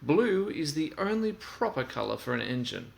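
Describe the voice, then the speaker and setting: Guy doing a cocky little train engine for a kids book, huffy and emphatic, pitched up a bit. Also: sing-song, normal speed, gravelly, full character voice. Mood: boastful. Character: cheeky, boastful young male steam engine.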